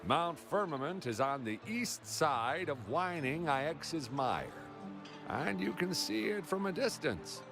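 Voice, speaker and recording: A man's voice: a little high, expressive, takes it slowly. An actor playing a chatty local townsman in a video game, sharing legends in an informal storytelling way. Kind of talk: video game character dialogue. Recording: game music behind the voice. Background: game background music.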